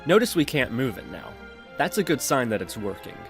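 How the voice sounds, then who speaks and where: A man narrating a software tutorial in an instructional, step-by-step manner. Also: mid-range voice, big pitch swings, fairly quick, vocal fry, soft background music. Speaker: tutorial presenter.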